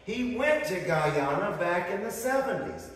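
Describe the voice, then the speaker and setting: A man's voice: high-pitched, very animated, a little slow, a bit bright. A middle-aged man from the northern United States preaching a fervent sermon, with rising emphasis and storytelling asides. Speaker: pastor. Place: church sanctuary, indoors. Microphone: far camera, speaking at a lectern. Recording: echoey hall recorded from far off.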